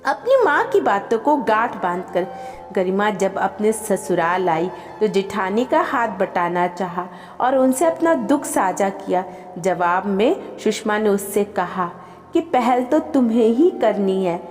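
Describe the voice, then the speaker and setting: A woman reading a scripted, emotional family story as an expressive storyteller. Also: medium pitch, takes it slowly. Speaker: storyteller and channel host. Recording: long reverb with music behind. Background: background music.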